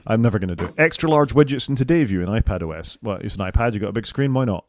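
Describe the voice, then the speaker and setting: A man's voice: lowish voice, lively intonation, racing, darkish tone, slight background noise. A British man co-hosting a casual tech podcast, working through a list with wry, opinionated asides. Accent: British English. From United Kingdom. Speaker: podcast co-host.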